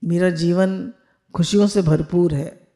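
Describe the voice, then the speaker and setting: A woman's voice: on the low side, fairly even intonation, measured pace, warm tone. A woman spiritual teacher on a video call, leading listeners through affirmations they repeat after her, mixing Hindi with English phrases.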